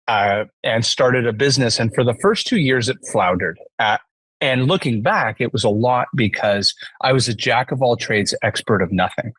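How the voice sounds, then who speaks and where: A man's voice: mid-range voice, fairly bright tone, no echo, poor audio. A man in his forties with a Canadian accent, telling business stories conversationally as a podcast guest. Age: 40s.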